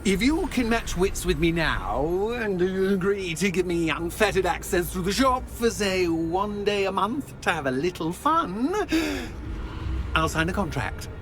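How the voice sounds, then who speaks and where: Flamboyant, high-pitched mischievous poltergeist. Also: male voice, animated. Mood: scheming, gleeful. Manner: theatrical, flamboyant, mock-pompous, drawn-out delivery. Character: theatrical mischievous male poltergeist trickster, gleeful, taunting, self-pitying. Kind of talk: video game character acting. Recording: loud music under the voice. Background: game music.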